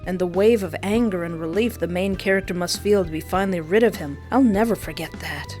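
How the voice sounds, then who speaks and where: A woman's voice: lowish voice, a little fast, a little mellow. A woman hosting a countdown video, telling it as scripted narration in a dramatic, emphatic way. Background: music.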